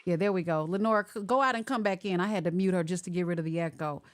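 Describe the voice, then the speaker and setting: A woman hosting a casual online interview over a video call, chatty and animated as she works through connection glitches. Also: fairly low pitch, quick pace. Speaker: host.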